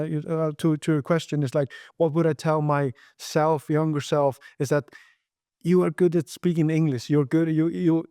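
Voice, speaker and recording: A man's voice: on the high side, dark tone, no echo, clean studio sound. A young Icelandic man speaking English as a second language in a podcast interview, earnest, with many repeated words and restarts. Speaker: guest entrepreneur.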